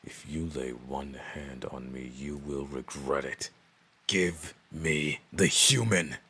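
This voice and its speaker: A man's voice: a bass voice, takes it slowly, sharp tone, husky, full character voice. A man playing an arrogant, domineering orc who would be chief, speaking with pompous menace in a roleplay audio drama. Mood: demanding.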